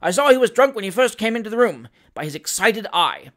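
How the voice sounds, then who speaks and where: A storybook reader voicing a pompous male magistrate who blusters loudly and is quick to rage. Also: mid-range voice, very animated, voice pushed up. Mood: furious. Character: pompous, irascible male magistrate, thundering and easily enraged.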